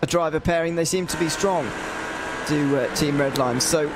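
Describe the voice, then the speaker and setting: A man's voice: a little high, moderate pace, very noisy. A man giving race analysis as co-commentator, hesitant with many fillers and repeated words.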